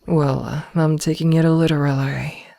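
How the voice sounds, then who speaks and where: A woman playing a possessive, menacingly loving female werewolf in an ASMR roleplay, speaking softly and coldly, often slipping into a whisper. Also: low-pitched, fairly even intonation, vocal fry, no echo. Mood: threatening. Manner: cold, callous, deliberate word delivery. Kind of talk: ASMR roleplay, slow intimate delivery.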